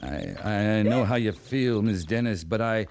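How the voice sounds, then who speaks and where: An actor playing a stern, reserved cavalry captain in an old-time radio western, speaking formally and haltingly. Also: male voice, on the low side, a little mellow. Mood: restrained, reassuring. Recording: noisy old radio drama recording.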